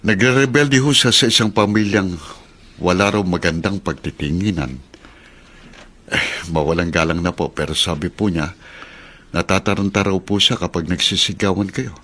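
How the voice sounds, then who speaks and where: A man's voice: sits low, normal speed, sharp tone. A man playing a humble, caring working-class taxi driver in a radio drama, deferential, hesitant and earnest. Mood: earnest, grave. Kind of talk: Filipino radio drama acting.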